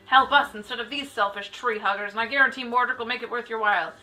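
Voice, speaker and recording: Cruel fantasy game villainess: undead, taunting, forceful high voice with music behind. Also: female voice, fast talker, poor audio. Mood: haughty. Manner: taunting, haughty. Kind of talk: scripted fantasy game dialogue.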